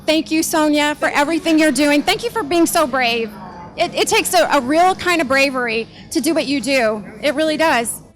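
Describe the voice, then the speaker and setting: A woman hosts interviews with a very high, light voice, chatty and playful as she asks her questions. Faint music sits underneath. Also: lively intonation, normal speed. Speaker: host and interviewer. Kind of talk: upbeat interview hosting.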